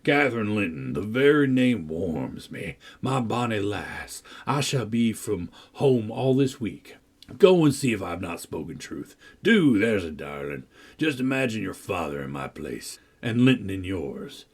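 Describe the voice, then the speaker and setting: A man reading a novel aloud, voicing a deep-voiced, menacing villain who sneers, coaxes and threatens. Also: very animated, a little slow, slightly rough, exaggerated voice. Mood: coaxing. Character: menacing, brooding older man, a manipulative villain.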